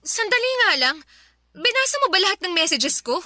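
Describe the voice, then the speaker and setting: A woman's voice: very high-pitched, big pitch swings, brisk, pushed and bright, no echo, studio quality, full character voice. An actress playing an evasive, easily irritated young girlfriend in a radio drama, with a Filipino accent. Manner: defensive, scolding. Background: faint music.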